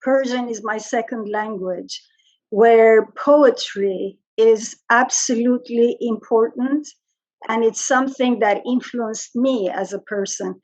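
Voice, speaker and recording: A woman from Iran asking a thoughtful, hesitant question in an online discussion, with many pauses and fillers. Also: fairly high pitch, darkish tone. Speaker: symposium participant. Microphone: video call. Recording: muffled video call audio.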